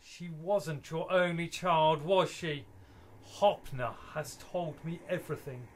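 An actor playing a haughty, mocking aristocratic poet in a radio play, pompous and taunting. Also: male voice, on the high side, steady tone, slow, a little hiss or hum. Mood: accusing.

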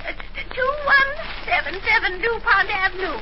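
Shrill-pitched, frantic woman caller. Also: expressive, moderate pace. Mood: frantic, impatient. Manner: agitated, stammering, scolding. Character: flustered, frightened, insistent woman neighbor phoning police. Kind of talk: 1940s radio acting. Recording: noisy, muffled 1940s radio recording.

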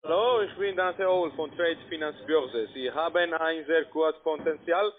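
Male telesales, high-pitched, stiff scripted delivery with foreign phrasing, phone-line audio. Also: unhurried, a little hiss or hum. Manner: stilted, non-native German phrasing. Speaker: telephone trading salesman. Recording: narrow-band phone line.

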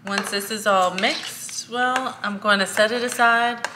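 A woman's voice: medium pitch, fairly even intonation. A woman in her thirties hosting a home cooking video, walking through a recipe step by step in a casual way with a few fillers. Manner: slow, step-by-step instructions with fillers. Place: home kitchen, indoors. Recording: echoey kitchen with faint noise.

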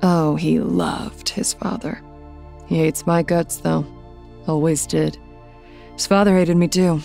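A middle-aged woman playing a bitter, guarded widowed mother in an audio drama, curt and sardonic, with a gravelly voice. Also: sits low, level tone, slow, dark tone, faint music behind, full character voice.

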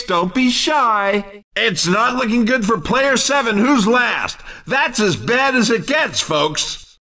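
A man playing an excitable, teasing, hammy race commentator in a video game. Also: extremely high pitch, far from the mic, heavily acted. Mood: teasing, upbeat. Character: excitable, cheeky male racing game announcer.

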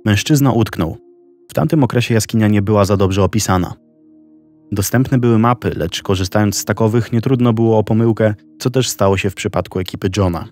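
Guy with a lowish voice slowly telling a true story, quiet music underneath. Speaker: narrator of a documentary video. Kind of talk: scripted narration. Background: soft background music.

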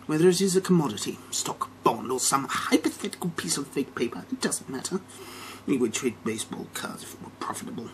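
A man's voice: medium pitch, expressive, fairly quick, a little hiss or hum, natural voice. A middle-aged American man playing an arrogant, vengeful Wall Street banker in a theatrical dramatic reading that moves between whispers and emphatic declarations. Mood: cold, smug.

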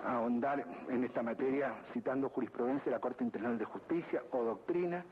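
A man presenting a formal legal argument for the state at a court hearing, deliberate and repeating phrases for emphasis. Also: medium pitch. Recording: tinny lo-fi archive recording with background noise.

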